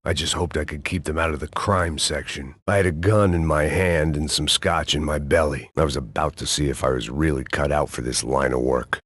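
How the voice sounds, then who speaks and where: A man voicing a world-weary, hard-drinking middle-aged ex-cop turned bodyguard in a video game, narrating in a dry, bitter deadpan. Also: a bass voice, slightly rough, dry recording, exaggerated voice. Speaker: game character. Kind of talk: video game voice acting, noir voice-over.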